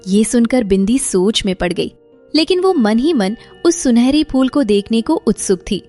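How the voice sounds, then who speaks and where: A woman serves as storyteller, reading scripted narration. Her voice is fairly high, with faint music underneath. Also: animated, normal speed, dark tone.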